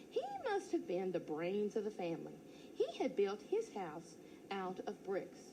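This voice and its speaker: Woman teacher, storybook read-aloud, lively melodic voice, playful. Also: mid-range voice, noisy background. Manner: expressive, playful first-person storytelling.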